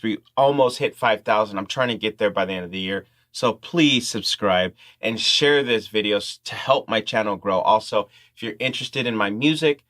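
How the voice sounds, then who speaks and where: Bright-voiced man teaching music. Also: lowish voice. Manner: informal, step-by-step explaining. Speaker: music tutorial host. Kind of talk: instructional talk to camera.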